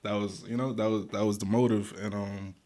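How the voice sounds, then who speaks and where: A man's voice: lowish voice, level tone, a little fast, dark tone. A young man from Guatemala, talking casually as an interview guest, with loose slangy speech full of restarts and fillers. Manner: laid-back slangy talk, many restarts and fillers. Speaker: guest rapper.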